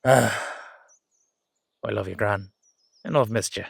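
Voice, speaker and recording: A man's voice: lowish voice, slow, vocal fry, husky, no room sound, full character voice. Man acting playful Irish Dullahan boyfriend, close dry sound. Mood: teasing. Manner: rambling, hesitant, teasing. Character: playful, affectionate young-looking male Dullahan boyfriend. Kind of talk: boyfriend roleplay audio, conversational acting.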